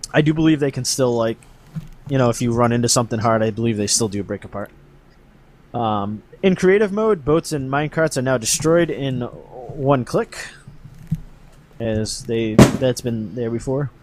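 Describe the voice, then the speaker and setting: A man hosting a podcast, casually explaining game update news in a halting, hedging way. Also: mid-range voice, darkish tone.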